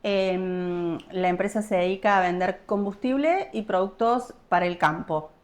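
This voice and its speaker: A woman from Argentina with an Argentine accent, a podcast guest talking in a relaxed way and pausing often to search for her words. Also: medium pitch. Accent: Argentine Spanish. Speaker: guest, property investor. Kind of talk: casual podcast interview.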